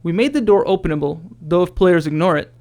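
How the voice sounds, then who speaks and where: A man's voice: sits high, fast talker, darkish tone. A man who works as a game developer, reading scripted commentary in an explanatory style.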